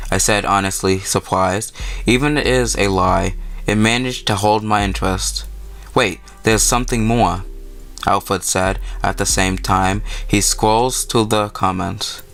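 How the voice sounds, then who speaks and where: A man's voice: on the low side, fairly bright tone. A man hosting a gaming video, reading a visual novel aloud and adding casual, informal asides.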